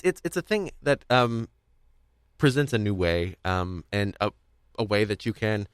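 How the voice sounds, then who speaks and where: A man's voice: low voice, no room sound, studio quality. A man hosting a podcast in casual conversation, speaking in a hesitant, rambling, stop-start way. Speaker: podcast host. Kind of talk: casual discussion of audio drama.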